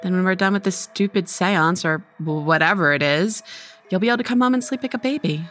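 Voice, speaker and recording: A woman's voice: lowish voice, brisk, fairly bright tone, soft background music, lightly acted. A woman playing a breezy, persuasive, gossipy young college friend in an audio horror drama. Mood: reassuring.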